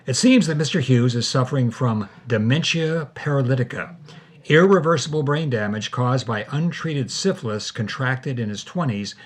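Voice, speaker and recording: A man narrates a scripted story as a podcast co-host. His voice sits at an average pitch. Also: animated, normal speed.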